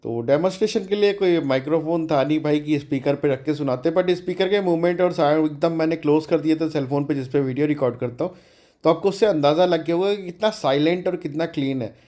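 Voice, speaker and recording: Male DIY tutorial host, voice a bit high, informal and chatty, code-mixing English terms. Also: fast talker, dark tone. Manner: chatty, colloquial, mixes English terms into speech. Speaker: DIY electronics channel host.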